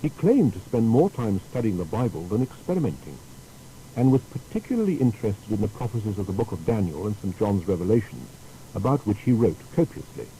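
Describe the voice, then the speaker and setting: A man delivers scripted documentary narration in a measured, explanatory manner. His voice is very dark and soft-edged, yet his pitch moves in lively swings. There is faint noise on the recording. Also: low-quality recording.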